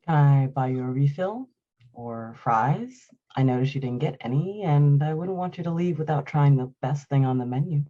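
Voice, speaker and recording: An actress playing a confident, flirtatious femme fatale with a teasing manner in a noir radio drama. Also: female voice, low voice, moderate pace, narrow, tinny audio. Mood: flirtatious, inviting. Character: confident, flirtatious femme fatale pool player named Veronica.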